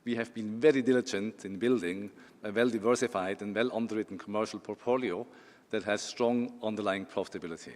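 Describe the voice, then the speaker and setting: A man's voice: on the low side, steady tone, a little fast, a little mellow. A man with a Swiss accent giving a corporate presentation as an insurance executive, with some dry humor and a few fillers. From Switzerland. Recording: long hall reverb.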